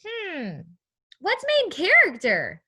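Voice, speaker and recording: A woman's voice: extremely high pitch, wildly swooping pitch, slow, pushed and bright. A woman teaching young children in an interactive read-aloud, speaking in simple, encouraging phrases with guiding questions and a sing-song delivery. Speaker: preschool teacher reading a story aloud.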